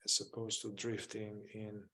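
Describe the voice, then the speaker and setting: A man leading a guided mindfulness meditation as a teacher, gently and without hurry, leaving long pauses between short phrases. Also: fairly low pitch, monotone.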